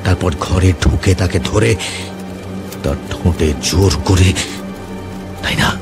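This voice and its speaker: A man plays a cold, relentless tormentor in an audio drama. His voice is very deep, and he presses his accusations in a deliberate, taunting way. Music runs clearly underneath. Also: steady tone. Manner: deliberate, taunting, insistent questioning. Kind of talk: audio drama acting, Bengali mixed with English.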